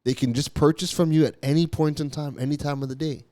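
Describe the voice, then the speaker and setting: A man hosting his own podcast, talking straight to his listeners. His voice sits a little higher than most men's, and he drives his points home by repeating them. He sometimes trips over a word before pressing on. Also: fairly even intonation, fast talker, dark tone. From Canada. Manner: emphatic, repeats key phrases, occasional stumbles. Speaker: podcast host. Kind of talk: solo business podcast monologue.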